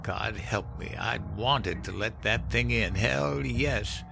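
A man voicing a gruff, cynical old country man with a folksy drawl, telling of hard times in a horror story. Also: on the low side, takes it slowly, music in the background, voice pushed up, exaggerated voice. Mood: haunted, confessional. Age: older. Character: cynical old country man recounting grim Depression-era hardship. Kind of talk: dramatized horror story narration.

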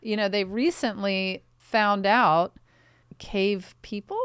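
A woman co-hosting a podcast in casual chat, wry and teasing with quick interjections. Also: medium pitch, fairly bright tone, clean tone, dry recording, clean studio sound. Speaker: podcast co-host.

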